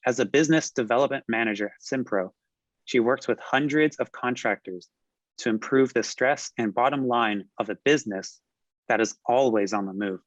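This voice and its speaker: A man's voice: medium pitch, normal speed, no echo. Man reading webinar announcements, dry and muffled. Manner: smooth, reading from a script. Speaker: webinar moderator. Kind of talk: scripted announcements and speaker introduction.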